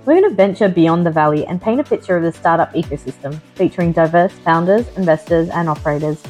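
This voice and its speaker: A woman's voice: lowish voice, animated, fast, a little mellow. Woman reading a show intro over music. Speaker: podcast host.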